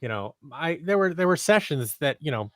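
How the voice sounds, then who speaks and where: A man's voice: on the high side, sing-song, brisk, no room sound. A man in his thirties talking casually as an interview guest, with an enthusiastic, rambling manner, on a close mic at home. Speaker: guest, audio drama creator and actor. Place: small home room on a video call. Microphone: close studio mic on boom arm with headphones.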